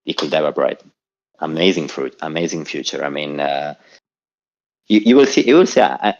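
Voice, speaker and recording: This is a man from southern Switzerland with Italian as his first language, calling in to a chat show. His voice sits very low and comes through a muffled, lo-fi call line. He rambles, repeating words and restarting his thoughts as he goes. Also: animated, normal speed. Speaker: caller. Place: home in Zurich.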